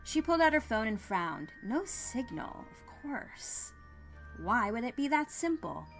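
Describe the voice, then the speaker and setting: A woman's voice: fairly high pitch, big pitch swings, unhurried, a bit bright. A woman narrating a scripted story as an audiobook-style storyteller. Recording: music under the voice.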